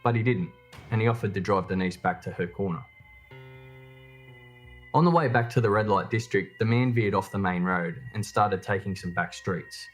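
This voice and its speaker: A man with a very low voice narrates a true crime documentary. His delivery is scripted storytelling, with soft guitar music behind him. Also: normal speed.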